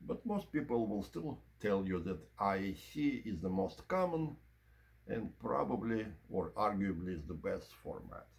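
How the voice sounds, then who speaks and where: Guy reviewing gear, lowish voice, goes up and down a lot, explains stuff in short chunks. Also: sing-song, a little slow, vocal fry. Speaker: presenter of audio equipment review. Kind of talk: informal product review and demonstration to camera.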